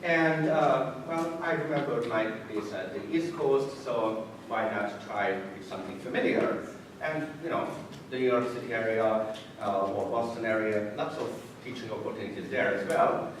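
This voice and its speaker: A man in his thirties from Hungary with a Hungarian accent, giving an informal talk about himself to an audience in a halting, self-deprecating way. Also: mid-range voice. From Budapest, Hungary. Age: 30s. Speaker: college science teacher giving a talk. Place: echoey indoor room before an audience. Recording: echoey room, distant mic, noisy background.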